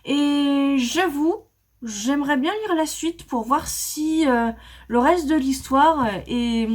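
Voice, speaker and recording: Woman rambling slow about her manga, lots of euh and restarts, kinda high voice. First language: not English. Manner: hesitant, many fillers and restarts. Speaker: manga vlogger. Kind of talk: casual book review chat.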